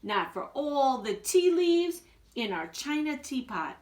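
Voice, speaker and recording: A woman's voice: high-pitched, big pitch swings, takes it slowly, a little mellow, voice pushed up, full character voice. A woman voicing small, frightened but defiant little wolves in a storybook, with emphatic, chanting delivery.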